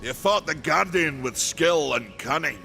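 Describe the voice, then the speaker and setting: An actor playing a proud, stern warrior in a video game, gravelly and declamatory. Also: male voice, fairly high pitch, lively intonation, unhurried. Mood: stern approval. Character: proud, stern warrior maker, fierce and zealous. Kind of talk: video game cutscene acting. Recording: loud music over the voice. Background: loud game score.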